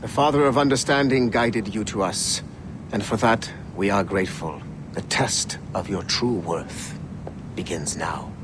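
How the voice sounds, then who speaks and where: A man's voice: unhurried, lightly acted. An actor playing an imposing, cryptic male secret-order executive in a video game, speaking formally and portentously. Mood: cool menace. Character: imposing, cryptic male corporate Templar leader, coolly menacing. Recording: clear low rumbling background noise.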